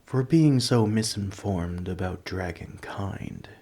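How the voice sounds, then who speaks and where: A man playing a large, ancient, nerdy dragon in an ASMR roleplay, polite and earnest but a little huffy, with a soft voice. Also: low-pitched, takes it slowly, exaggerated voice. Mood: friendly, earnest. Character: large, ancient, nerdy gentle male dragon, politely indignant coin collector. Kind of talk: ASMR roleplay audio drama.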